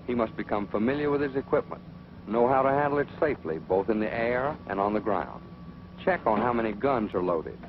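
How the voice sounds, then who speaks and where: A man's voice: mid-range voice, natural voice. A man narrating a 1940s training film as a stern military flight instructor, authoritative, scolding and didactic. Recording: muffled noisy 1940s film soundtrack.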